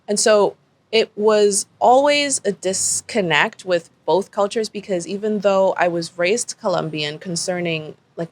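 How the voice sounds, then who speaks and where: Low-voiced woman from the Bahamas. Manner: reflective, restates and corrects herself. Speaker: artist panelist. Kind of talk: panel discussion.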